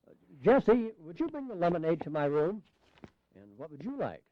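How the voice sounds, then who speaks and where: An actor playing a frail, droll old delegate in an audio drama, grumbling with dry humour. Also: male voice, high voice, very animated, moderate pace, no echo, lo-fi sound. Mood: hospitable. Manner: grumbling, dryly humorous.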